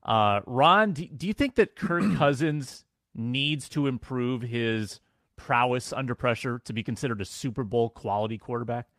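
A man, a sports talk show producer, calmly explaining statistics. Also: mid-range voice, no echo, clean studio sound. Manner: measured, explains stats. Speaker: producer and co-host.

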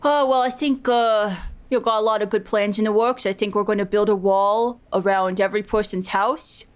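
Someone doing a smug boastful demon president guy for a comedy podcast, funny accent, clean smooth voice, kinda halting. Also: mid-range voice, steady tone, close to an everyday voice. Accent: put-on character accent. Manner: halting, boastful, self-satisfied delivery. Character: smug, petty demon politician, male, newly elected president of hell. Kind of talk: improvised comedy podcast acting.

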